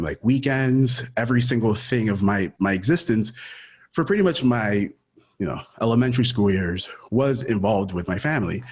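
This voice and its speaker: A man in his thirties, born in Ecuador and raised in Miami, talking through a personal story as an interview guest, casual and full of "dude" and "like.". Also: lowish voice. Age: 30s. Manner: casual, says dude and like often.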